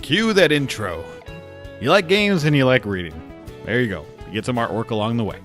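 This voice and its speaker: A man's voice: mid-range voice, sing-song, fairly quick. A man hosting a game review video in an informal, chatty, lightly joking way. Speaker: video host reviewing games. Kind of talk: casual scripted video commentary. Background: background music.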